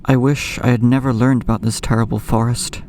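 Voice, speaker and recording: A young man acting as a haunted, anxious narrator of a horror audio drama, telling his nightmares in a brooding, ornate, measured way. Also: mid-range voice, level tone, a little mellow, a little hiss or hum, close to an everyday voice. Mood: uneasy, reflective. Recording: music bed under the voice. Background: clear background music.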